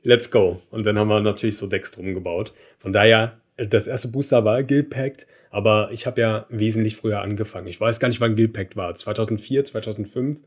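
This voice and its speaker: Relaxed storytelling German man. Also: fairly low pitch, fairly quick, hardly any pauses, breathy edge. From Berlin, Germany. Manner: relaxed storytelling with frequent fillers and laughs. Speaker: podcast guest. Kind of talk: casual conversation.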